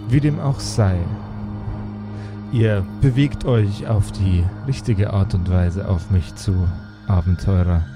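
A man voicing a haughty monstrous underground king in a tabletop audio game, speaking ponderously and pompously with halting pauses. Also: lowish voice. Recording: eerie music under the voice.

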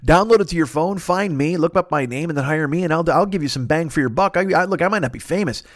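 A man hosting a podcast in a rambling comic monologue, loud-mouthed, digressive, self-deprecating and freely swearing. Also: on the high side, fast, no echo, studio quality. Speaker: podcast host.

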